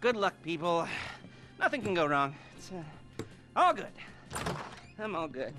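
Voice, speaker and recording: An actor playing a neurotic, needy middle-aged American heist planner in a video game, stammering, rambling and excitable. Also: male voice, fairly high pitch, measured pace, over music. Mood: nervous briefing. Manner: stammering, rambling, excitable, swinging between mutter and shriek. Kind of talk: animated video game cutscene acting.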